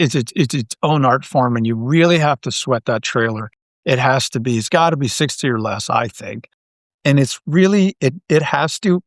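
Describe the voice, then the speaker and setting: A middle-aged man talking casually as an interview guest, thinking aloud with halting, repeated phrases. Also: a little high, fairly bright tone, no room sound, studio quality. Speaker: guest, audio drama writer and creator.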